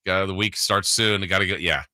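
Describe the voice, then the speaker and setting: A man's voice: deep voice, fairly even intonation, quick pace, pushed and bright, no room sound. A man hosting a sports radio show, chatting casually in a rambling, conversational way close to the mic. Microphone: close studio broadcast mic.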